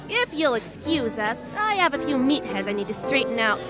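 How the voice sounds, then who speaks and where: A woman's voice: sits high, very animated, moderate pace, loud music behind the voice, poor audio. A woman playing a cool, businesslike female bird gang member with a dry manner, in an audio drama. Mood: exasperated, polite. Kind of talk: amateur audio drama acting.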